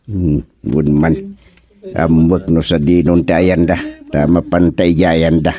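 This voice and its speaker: A man's voice: deep voice, flat delivery, a little slow, mellow. A man playing a nosy, gossipy villager in a radio comedy drama.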